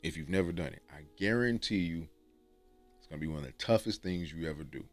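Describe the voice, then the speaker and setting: A man from Texas with a deep, resonant voice, giving rambling, slangy self-improvement advice to camera and often tagging "okay?". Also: lively intonation, fast, soft background music. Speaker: dating and self-improvement vlogger. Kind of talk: casual talk to camera. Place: room with books on shelves.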